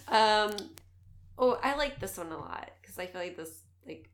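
A woman with a Wisconsin accent, talking loosely on a podcast after a few drinks. She rambles, swears freely and drags out her vowels, at a middling pitch. Her voice rings in a roomy, echoey space. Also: lively intonation, moderate pace, a little hiss or hum. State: tipsy. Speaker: podcast co-host. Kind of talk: casual chat.

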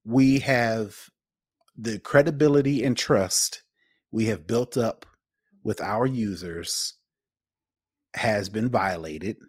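A man's voice: lowish voice, takes it slowly, pauses often, a little mellow, no room sound, studio quality. A man co-hosting a podcast, giving casual, opinionated commentary bluntly and emphatically, repeating phrases for effect. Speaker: podcast co-host.

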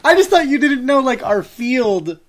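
A woman's voice: high-pitched, sing-song, moderate pace. A woman hosting a podcast, interviewing a friend in a playful, teasing way. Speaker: podcast host. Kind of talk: casual interview chat.

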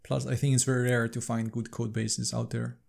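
Gravelly man, casual podcast co-host. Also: mid-range voice, little pitch movement, a little fast. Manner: agreeable, filler-heavy, trails off softly.

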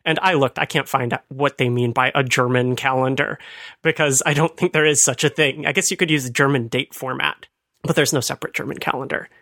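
A man's voice: medium pitch, fairly even intonation, a little fast, bright, forceful tone, dry recording. A man co-hosting a conversational talk, explaining things with dry amusement. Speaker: podcast host. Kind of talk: conversational retro computing commentary.

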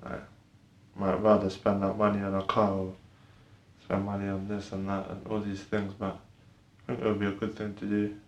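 A young man who was born in Ireland and raised in the UK, a podcast guest talking in a soft, hesitant way with lots of fillers. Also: on the low side, monotone, moderate pace, echoey room. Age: young adult. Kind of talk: casual conversation.

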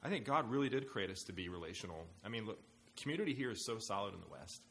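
A man with a low voice hosts a casual panel discussion, talking fast and eagerly. He pushes the talk forward with questions, and faint noise hums behind him. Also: expressive, fairly bright tone. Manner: quick, eager, leads the questions. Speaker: host.

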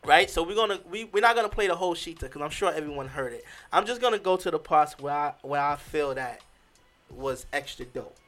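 A man's voice: on the high side, animated, brisk, a bit bright, faint music behind. A man co-hosting a casual podcast, giving emphatic opinions with plenty of fillers. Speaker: podcast co-host. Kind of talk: casual chat.

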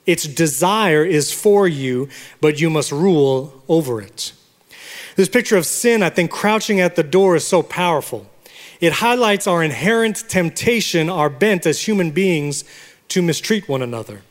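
Pastor of Puerto Rican origin, high-voiced man, preaching and teaching, long hall reverb. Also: lively intonation, a little slow. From Puerto Rico. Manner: teaching, has the congregation repeat words. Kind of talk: sermon. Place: church sanctuary.

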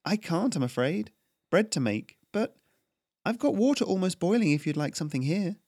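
A man voicing a young woman baker who is quick-tongued and wary. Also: lowish voice, lively intonation, a little mellow, dry recording, studio quality, pitched above the performer's own voice. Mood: gentle. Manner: snappish and quick.

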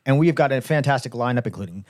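Lively-voiced chatty podcast man, dry sound. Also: mid-range voice, quick pace, no room sound. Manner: chatty, plugging shows with playful asides. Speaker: podcast co-host. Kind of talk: casual chat.

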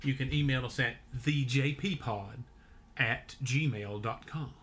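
A man hosting a podcast, telling a story in a relaxed way with many fillers, his voice a bit deeper than usual. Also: takes it slowly. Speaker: podcast host. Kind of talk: casual storytelling chat.

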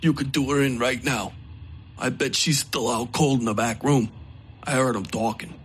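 A man voicing a gut-shot, feverish, bigoted man who talks in coarse folksy grammar, in a dark audio story. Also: mid-range voice, steady tone, moderate pace, a little hiss or hum, natural voice. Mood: menacing.

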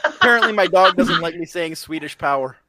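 A man on a casual podcast who drops quick, dry wisecracks into the conversation. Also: fairly high pitch, animated, sharp tone, no room sound. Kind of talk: casual chat.

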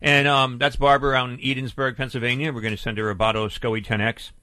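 A man's voice: medium pitch, little pitch movement, fast, pushed and bright, no room sound. A man in his fifties hosting a pet call-in radio show, chatty and jokey, also reading his own ad. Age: 50s. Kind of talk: radio call-in talk and read ad.

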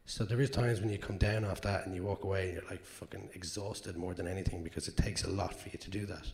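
An Irish man with a low, gravelly, naturally hoarse voice talks through his craft in a loose, rambling way, swearing now and then. His words trail off into a long reverb from the hall around him. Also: fairly even intonation, fast talker, darkish tone. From Ireland. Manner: candid, rambling, with fillers and swearing. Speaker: actor guest. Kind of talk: live Q&A answers.

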